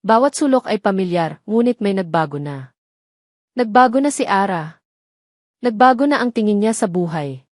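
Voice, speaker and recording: A woman narrates a dramatic audio story from a script. Her voice sits at a medium pitch, and the recording is dry with very little room sound. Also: expressive, moderate pace, long pauses, a little mellow, no echo.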